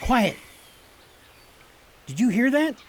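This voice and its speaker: A woman's voice: a little high, very animated, slow pace, darkish tone, a little hiss or hum. A woman playing a brave, proud young prince in a radio play, with earnest, heroic delivery. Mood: urgent. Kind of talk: theatrical radio drama acting.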